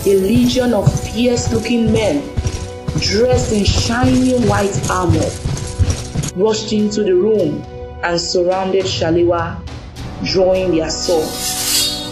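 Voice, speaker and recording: A woman narrating an audio drama in a plain, steady storytelling manner. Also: medium pitch, takes it slowly, pauses often. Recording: echoey room with music.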